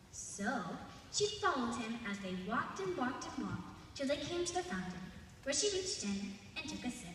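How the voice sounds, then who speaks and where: High-voiced young girl storyteller in echoey arena. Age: child, 11. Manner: theatrical, expressive delivery with dramatic pauses. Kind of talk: live storytelling of a folk tale to an audience. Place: large indoor arena, live festival stage. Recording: distant, echoey arena with long reverb and noise.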